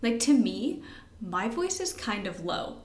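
A woman's voice: medium pitch, very animated, a little slow, a little mellow. A young woman co-hosting a podcast in casual chat, rambling and giggly, poking fun at herself and sounding tired. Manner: giggly, rambling, self-deprecating. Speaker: podcast co-host. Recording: roomy echo.

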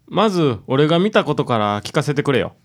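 A man's voice: fairly high pitch, very animated, a little fast, very noisy. A man voicing a casual, curious young part-time worker in a radio drama. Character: laid-back drifting part-timer, young man.

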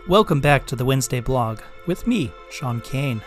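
Measured, scholarly man reading an essay. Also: mid-range voice, expressive, soft background music. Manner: measured, scholarly, carefully enunciated. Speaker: podcast host. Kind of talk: reading a written blog essay aloud.

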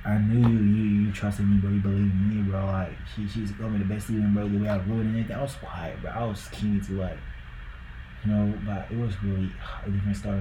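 Young ghanaian guy rambling on a podcast, low flat mellow voice, echoey room. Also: slight background noise. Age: young adult. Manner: loose and rambling, with many likes and bros. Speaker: podcast co-host. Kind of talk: casual podcast chat.

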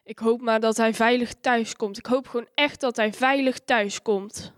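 An anxious young village woman in wartime played by an actress, earnest and full of compassion. Her voice is fairly high and recorded close and dry, in the style of a radio play. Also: steady tone, moderate pace, no echo, natural voice. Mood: worried, hopeful. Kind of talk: scripted radio drama dialogue.

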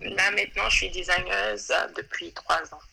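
A woman's voice: mid-range voice, steady tone, moderate pace, vocal fry, bright, forceful tone, poor audio. A woman from Ivory Coast, a guest on an interview podcast, talking in a hesitant way with many fillers and restarts. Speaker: podcast guest, web designer. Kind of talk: casual interview. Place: New York.

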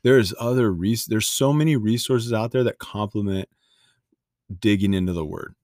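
A deep-voiced man co-hosting a faith podcast. He rambles in a relaxed way and jokes now and then. His voice sounds dry and close to the mic. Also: normal speed, dry recording. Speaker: podcast co-host. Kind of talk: casual faith podcast chat.

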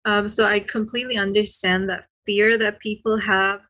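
Woman from Sri Lanka, podcast guest, casual chat, informal, tentative, mid-range pitch. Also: flat delivery, normal speed, a bit bright.

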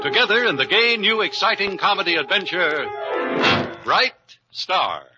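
Animated high-pitched 1950s male radio announcer. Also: moderate pace. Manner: jaunty, theatrical, confiding. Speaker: announcer and narrator. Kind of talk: 1950s radio show narration. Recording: muffled vintage radio recording under loud music.

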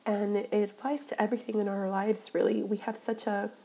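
A woman's voice: medium pitch, flat delivery, brisk, creaky, dark tone, rough recording. Stuffy-nosed woman podcasting. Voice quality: nasal, congested. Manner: earnest, with many fillers and restarts. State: stuffy from a cold. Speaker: podcast host. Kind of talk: solo casual podcast talk.

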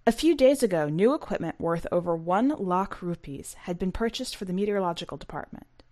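A woman's voice: on the low side, expressive, brisk, a little mellow. A woman hosting a fiction podcast, speaking in a scripted, read-aloud presenting and narrating style. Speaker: podcast host and story reader.

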